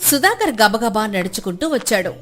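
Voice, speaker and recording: A woman's voice: medium pitch, sing-song, normal speed, fairly bright tone, soft background music. A woman delivering scripted narration for a story.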